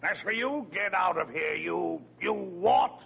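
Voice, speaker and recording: An actor playing a gruff, bullying middle-aged father with a blustering, insulting manner in an old-time radio comedy. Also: high voice, expressive, measured pace, full character voice. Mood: irritated, scornful. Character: gruff, bullying father who scorns his daughter's suitor. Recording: muffled old radio recording, faint noise.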